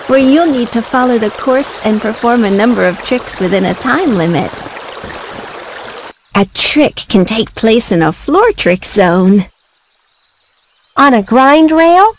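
A friendly little female bird for a video game. Her voice sits somewhat high as she helpfully explains things. Clear water noise runs behind her. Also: lively intonation, a little slow. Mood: cheerful, instructive. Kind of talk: video game cutscene acting, giving instructions.

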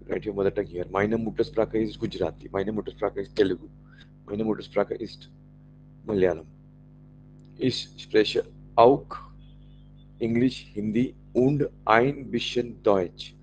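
A man with an Indian accent teaches German over a screen share, speaking slowly and on the low side. He carefully repeats every German phrase for his students. A faint hum runs under his voice. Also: fairly even intonation, a little mellow, muffled recording. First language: Marathi. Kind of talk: online language lesson.

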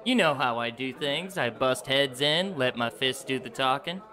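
A man's voice: mid-range voice, expressive, moderate pace, sharp tone. A man playing a cocky, goofy, hot-headed young yakuza brawler with brash, bumbling boasts in an anime-style audio drama.

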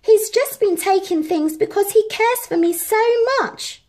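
A woman voicing an excitable young boy in a storybook, earnest and exclamatory. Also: extremely high pitch, measured pace, voice pushed up. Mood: pleading. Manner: exclamatory, dramatic.

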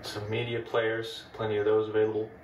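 A man with a Dutch accent walks through an old machine in a relaxed, chatty way, his voice sitting a little low. He sounds set back from the mic in a roomy, echoing space, with a faint hum of room noise behind him. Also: fairly quick. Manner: relaxed, chatty, explains step by step. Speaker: retro computing video host. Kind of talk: casual hardware demo narration. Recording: echoey room, mic at a distance.

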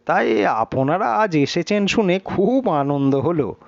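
A man's voice: sits high, animated, slow, creaky, dry recording, lo-fi sound, voice pushed up, full character voice. A man voicing a hospitable, courteous middle-aged stationmaster in an audio story. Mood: wistful, friendly. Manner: effusive, courteous.

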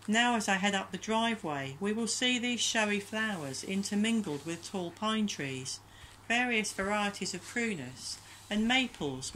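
A woman giving a calm, gentle, measured prayer reflection as a voice-over. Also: medium pitch, slight background noise, low-quality recording. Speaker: church minister leading prayer.

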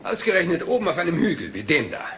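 Rumpled, deceptively casual police inspector in a radio mystery; courteous, meandering, lively swooping intonation. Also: male voice, fairly high pitch, fast talker, slight background noise. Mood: musing, puzzled. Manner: rambling, hesitant, polite, deceptively casual questioning. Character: rumpled, absent-minded, polite but shrewd male police detective. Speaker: police inspector in a crime drama. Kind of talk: German crime radio drama acting.

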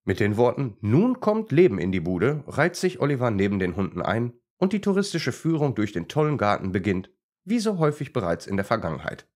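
A man's voice: medium pitch, very animated, a little fast. A man narrating a scripted story for children as a storyteller.

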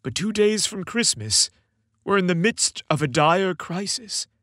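Booming, emphatic old Santa played by a male narrator, storybook style, pushed-up pitch, dry sound. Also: lively intonation, a little slow, bright, forceful tone, dry recording, full character voice. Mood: grave, worried. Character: jovial booming old Santa Claus, grave when worried.

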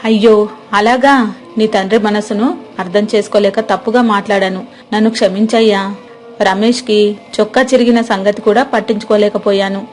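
A woman narrating a moral story and voicing the village wife, in a gentle, plain, homely way. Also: mid-range voice, fairly even intonation, fairly quick, soft background music.

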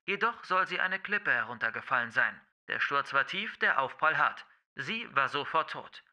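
A man's voice: a little high, sharp tone, voice pushed up. A man doing a grave TV newsreader. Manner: formal, measured newsreader delivery. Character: formal adult male TV news anchor.

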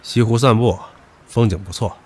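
An actor playing a brash, hot-tempered young subordinate who taunts crudely in an audio drama. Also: male voice, on the low side, normal speed, a little hiss or hum, natural voice. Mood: mocking, defiant. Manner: mocking, crude, provocative. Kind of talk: modern audio drama acting.

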